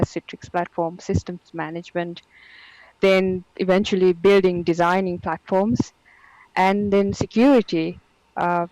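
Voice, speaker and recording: A woman's voice: lowish voice, darkish tone, dry recording, highs cut off. A woman from Sri Lanka with a mixed Sri Lankan and Geordie accent, a guest in a casual interview who laughs easily and repeats words as she starts sentences. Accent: mixed Sri Lankan and Geordie English.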